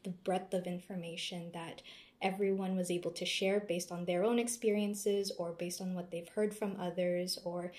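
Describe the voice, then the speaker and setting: A woman, a guest in an interview, speaking thoughtfully and reflectively with searching pauses. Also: on the low side, little pitch movement, a little mellow. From Canada. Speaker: interview guest, former seminar student. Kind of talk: casual conversational interview.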